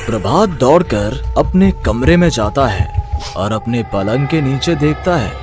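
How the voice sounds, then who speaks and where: A man's voice: medium pitch, animated, measured pace, over music. A man narrating a scripted horror story as its storyteller.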